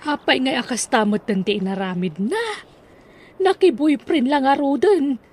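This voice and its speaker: A woman with an Ilocano accent playing a nosy, disapproving village friend in a radio drama, chatty and scolding in a husky voice. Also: high voice, lively intonation, measured pace, a little mellow, exaggerated voice.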